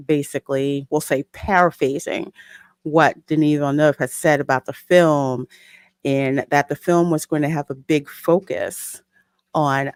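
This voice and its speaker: A woman's voice: sits low, dry recording. A woman hosting a podcast, talking casually and explaining her points in a conversational way. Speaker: podcast host. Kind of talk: casual discussion.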